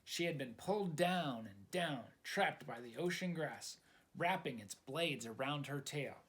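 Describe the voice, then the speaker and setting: A man narrates a picture book for young children in a dramatic, expressive way. He takes his time over the phrases and moves his pitch up and down a great deal. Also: a little slow. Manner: dramatic, addresses young listeners. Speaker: storytime reader.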